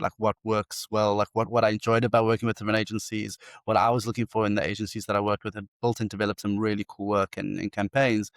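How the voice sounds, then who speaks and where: A man's voice: fairly low pitch, no room sound, studio quality. A South African man in his forties, telling stories in a casual interview with eager energy and frequent restarts. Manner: enthusiastic, fast storytelling with many restarts. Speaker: agency founder and CEO, guest.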